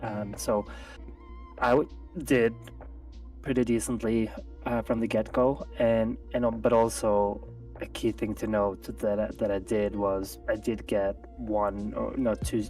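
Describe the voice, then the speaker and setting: A man's voice: fairly low pitch, level tone, a little slow, warm tone. A man chatting as a guest on a live gaming stream, thoughtful and hesitant, with many restarts. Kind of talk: casual live-stream chat. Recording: distant mic with faint music.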